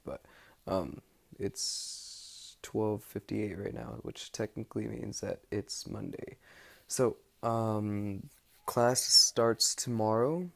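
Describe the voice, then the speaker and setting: A young man with an American accent talks through a personal audio log in a fairly low voice. His delivery is flat and unhurried in melody, rambling casually and stopping often to gather his thoughts. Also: gravelly. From United States. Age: young adult. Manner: hesitant, pausing often mid-thought. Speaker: study-abroad student keeping an audio log. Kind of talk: casual, rambling spoken diary.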